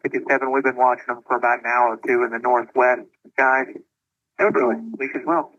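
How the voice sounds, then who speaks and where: A dull, band-limited aviation radio transmission carries a man's voice. He sounds tense and sharp, with clipped procedural phrasing and no room echo. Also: mid-range voice, fairly even intonation, a little fast. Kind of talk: air traffic radio communication.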